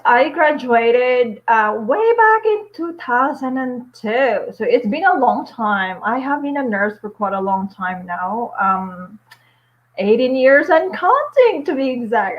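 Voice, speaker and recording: Slow-talking woman, lo-fi stream. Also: a little high. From Philippines. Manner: chatty and upbeat, frequent fillers and little laughs. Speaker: nurse and vlogger. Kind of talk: casual live-stream chat. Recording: dull lo-fi stream audio.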